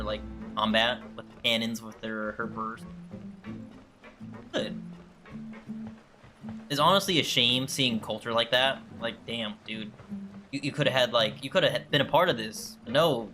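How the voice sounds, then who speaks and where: A man giving informal gaming commentary, thinking aloud loosely as he plays. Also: medium pitch. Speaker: gaming streamer. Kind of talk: casual playthrough commentary. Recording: game music under the voice. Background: game music.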